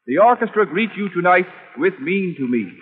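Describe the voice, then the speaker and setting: High-voiced American radio announcer, tinny recording. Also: male voice, animated, slightly rough, in a large hall, soft background music. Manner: stiff, ceremonial, measured reading. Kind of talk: formal 1920s radio sponsor announcement.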